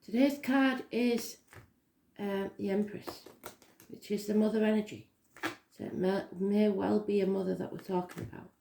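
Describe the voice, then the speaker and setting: A woman with a slightly croaky voice giving a daily tarot reading, talking softly and slowly with many pauses. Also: medium pitch, fairly even intonation, echoey room, slight background noise. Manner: slow, soft and unhurried, with pauses and fillers. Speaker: tarot reader.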